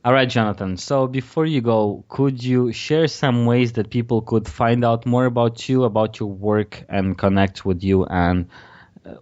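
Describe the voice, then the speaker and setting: A man's voice: medium pitch, fairly even intonation, unhurried, a little mellow, highs cut off. A man hosting a podcast interview in a conversational, encouraging and affirming way.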